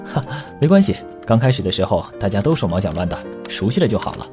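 Lovelorn male shopkeeper for radio drama, played by a man: animated, sighing, easily flustered, muttered asides, clear music bed. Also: fairly low pitch, fast talker. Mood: gentle, resigned. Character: flustered lovelorn male dessert shop owner, secretly smitten. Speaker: voice actor in an amateur radio drama. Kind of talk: Chinese audio drama acting. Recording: music behind the voice. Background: background music.

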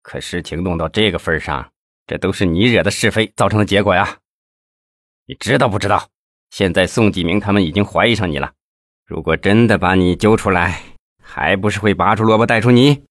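Narrator voicing a low, dragging, world-weary official, storybook drama. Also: male voice, fairly bright tone, no echo, studio quality. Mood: weary scolding. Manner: slow, heavy, sighing. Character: aging male party official, weary, scolding uncle.

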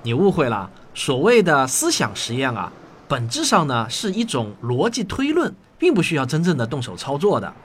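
A man's voice: fairly high pitch, huge pitch swings, normal speed, fairly bright tone, slight background noise. A man playing a genial, indulgent father who explains things patiently in a radio drama. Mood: explaining.

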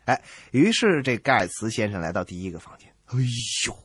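A man hosting a radio comedy show, telling jokes in a conversational, theatrical storyteller's manner with a rough voice. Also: lowish voice, very animated, fairly quick, a bit bright, no room sound. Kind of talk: animated joke telling. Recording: dry, faint music behind.

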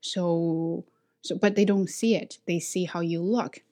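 A young woman with a Chinese accent tells personal stories in a relaxed, conversational way. Her voice sits slightly low for a woman. She often restarts sentences and leans on fillers. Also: a little slow. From China. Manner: frequent restarts and filler words, talking through experiences. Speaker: English teacher. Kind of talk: casual personal storytelling.

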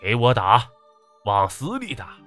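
A man's voice: on the low side, very animated, moderate pace, faint music behind. A man voicing a short-tempered, lecherous battalion commander in an audiobook, swearing and barking his orders. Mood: angry, barking. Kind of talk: audiobook character acting.

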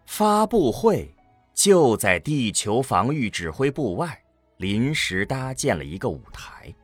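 A man narrates an audio drama at a slow, measured pace. His mid-pitched voice moves animatedly through the scripted story, with faint music underneath. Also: slightly rough, no echo.

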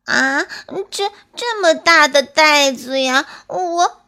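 A woman's voice: high-pitched, slow, a bit bright, voice pushed up. A woman voicing a small, frightened young squirrel boy who stammers and sounds close to tears. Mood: tearful. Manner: stammering, tearful.

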